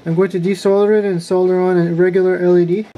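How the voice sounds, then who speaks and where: Calm, flat-voiced man explaining a repair. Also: high voice, quick pace. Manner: calm, plain and methodical. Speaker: car electronics tutorial maker. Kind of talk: step-by-step how-to narration.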